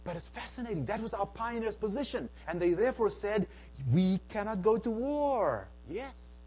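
A middle-aged man from South Africa giving an emphatic church talk, swinging between hushed and loud. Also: sits high, expressive, moderate pace, breathy edge. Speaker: speaker at a Bible Q and A. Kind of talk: lecture-style church talk.

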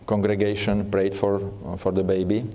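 A man with a Czech accent giving a church seminar talk, speaking haltingly with many fillers and restarts. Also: lowish voice, completely flat delivery, mellow. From Czech Republic.

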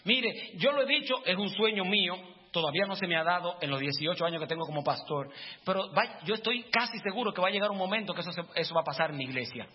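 High-voiced man preaching fervently. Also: lively intonation, fast, slightly rough. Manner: fervent preaching, rising to shouts. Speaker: pastor. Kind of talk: sermon. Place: church. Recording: long church reverb, dull lo-fi, faint music.

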